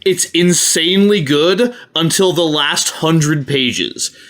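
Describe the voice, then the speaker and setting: A man with a slightly high, bright and forceful voice chats on a podcast. He is blunt and opinionated, delivering casual verdicts with easy profanity. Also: takes it slowly. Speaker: podcast co-host. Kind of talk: casual unscripted podcast chat.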